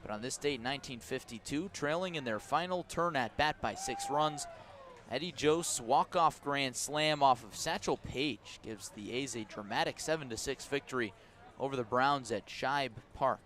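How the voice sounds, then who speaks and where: A man with an American accent doing conversational live radio baseball play-by-play, mixing clipped pitch calls with storytelling and wry asides. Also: normal speed, fairly bright tone. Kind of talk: live radio sports commentary mixed with storytelling.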